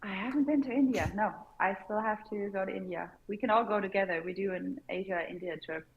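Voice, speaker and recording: A woman's voice: mid-range voice, fairly quick, phone-quality sound. A woman, a pickleball content creator guesting on an interview show, chatting casually and laughing often.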